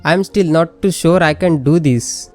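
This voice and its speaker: A woman's voice: low-pitched, moderate pace, darkish tone, faint music behind. A woman with an Indian accent, a native Hindi speaker, giving casual gameplay commentary and mixing in English. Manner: informal, switches between Hindi and English. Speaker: gaming channel host.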